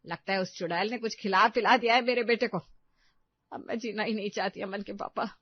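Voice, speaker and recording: A woman's voice: medium pitch, fairly even intonation, brisk, dry recording, lightly acted. A melodramatic middle-aged mother, acted by a woman, overprotective and nagging. She scolds and pleads in a comic audio-story manner. The recording is close, dry and a little muffled. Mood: tearful, dramatic. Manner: scolding, emotionally blackmailing. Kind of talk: comedic Hindi audio story acting.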